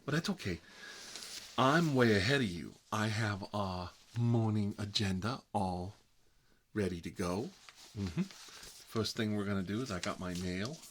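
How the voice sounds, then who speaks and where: A man's voice: lowish voice, unhurried, a little hiss or hum, close to an everyday voice. A man playing a fussy, gossipy fellow who lives with his mother, rambling and repeating himself in an ASMR comedy role-play. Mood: coy, gossipy. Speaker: ASMR channel host. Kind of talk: ASMR comedy role-play, one-sided chat with a visitor.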